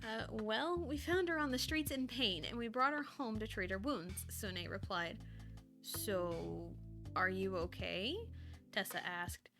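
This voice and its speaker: A woman with a very bright voice speaks at an unhurried pace on a casual livestream. She is informal and a bit giggly, moving between chat and story reading. Faint music plays behind her. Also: mid-range voice. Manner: giggly, self-deprecating, informal. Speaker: streamer reading fan fiction aloud on a livestream.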